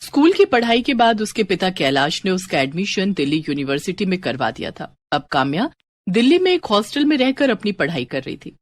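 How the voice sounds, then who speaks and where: A woman narrating scripted moral stories in an expressive storytelling style. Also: medium pitch, fairly quick.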